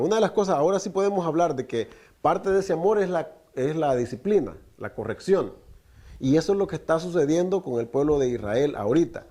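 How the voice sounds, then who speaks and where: Male preacher, middle-aged, native Spanish speaker, earnest teaching tone, mellow timbre. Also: on the high side. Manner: preaching with rhetorical questions, often addresses listeners as brother. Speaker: teacher of a Torah portion. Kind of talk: Spanish-language Torah teaching.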